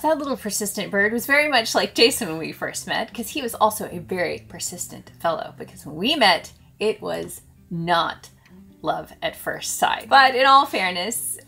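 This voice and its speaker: An American woman in her thirties, a travel vlogger chatting casually to camera and telling stories in an animated way. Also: fairly low pitch, gravelly, slightly rough. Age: 30s.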